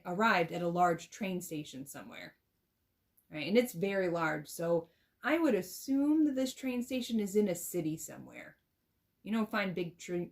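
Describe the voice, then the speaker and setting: Low-pitched woman teacher explaining. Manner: explanatory, checks understanding with "right?". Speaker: reading teacher demonstrating a read-aloud. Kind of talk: instructional talk with storybook reading examples.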